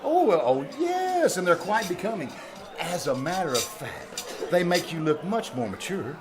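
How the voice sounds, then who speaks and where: Bashful, oblivious schoolteacher, played by a man: hesitant, polite, very animated intonation; live radio comedy with audience. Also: high-pitched, normal speed, crowd noise. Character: shy, oblivious, polite male biology teacher. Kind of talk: old-time radio comedy acting. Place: small-town theater stage, live performance. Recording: live theater stage with audience.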